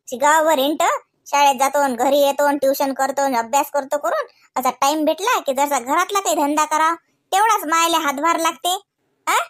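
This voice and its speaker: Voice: sits high, fairly bright tone, dry recording, studio quality. A performer voicing a nosy, suspicious village aunt in a comedy cartoon, nagging with pointed questions in a rural Vidarbha accent. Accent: rural Maharashtra, Vidarbha.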